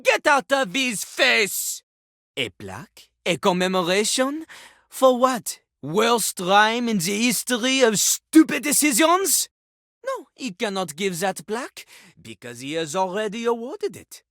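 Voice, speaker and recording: A cocky talking toilet from a video game, rapping and boasting. The delivery is slow and emphatic, swinging widely in pitch, with a sharp, dry sound. It is grandiose, scornful and quick to take offence. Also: female voice, very animated, no echo. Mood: furious, scornful. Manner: brash, mocking, grandiose, speaks of self in third person. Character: cocky, ruthless talking toilet rapper, boastful and easily outraged. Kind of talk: animated video game character acting.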